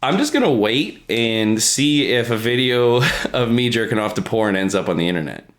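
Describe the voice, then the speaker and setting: A man's voice: medium pitch, lively intonation, normal speed. A man chatting casually as a podcast co-host, profane and self-mocking in a crude comedic way.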